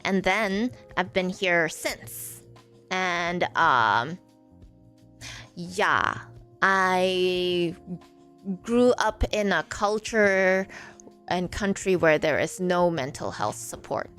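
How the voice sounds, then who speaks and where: A woman in her forties who grew up in Malaysia, a streamer answering chat in a halting way with many fillers. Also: fairly low pitch, lively intonation, a bit bright, soft background music. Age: 40s. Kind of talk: live stream chat reading viewer comments.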